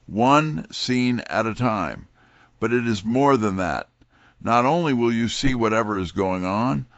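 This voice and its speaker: An actor playing a wry, wisecracking detective's legman, delivering scripted mystery narration and dialogue in a folksy, unhurried way. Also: lowish voice, a bit bright, no echo, dull audio.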